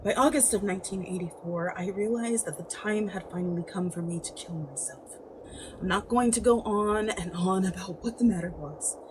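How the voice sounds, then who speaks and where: A woman's voice: mid-range voice, normal speed, background noise, natural voice. A woman playing an unhinged, murderous young thrill-seeker in a theatrical, darkly gleeful dramatic monologue. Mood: bitter, cold.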